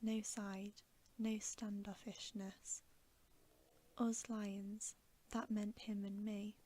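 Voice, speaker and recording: A woman's voice: medium pitch, level tone, extremely slow, dark tone. A woman voicing an eager, simple-hearted, proud male lion who gushes and repeats himself, in a whispered storybook reading. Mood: thrilled, proud.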